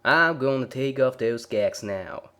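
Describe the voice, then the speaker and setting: A man's voice: mid-range voice, lively intonation, measured pace, a bit bright, smooth, voice pushed up. A man voicing a big, placid farm stallion with a folksy manner. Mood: calm menace. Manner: placid, folksy, pleasant while threatening. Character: big placid male farm pony with quiet menace.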